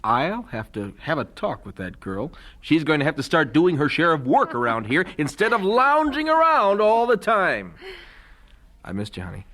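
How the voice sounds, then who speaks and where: A man playing a devout, resolute young missionary husband in a radio drama, earnest and gentle. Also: medium pitch, lively intonation, moderate pace, hardly any pauses. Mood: playful, tender. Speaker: voice actor in an audio drama. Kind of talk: radio drama dialogue acting.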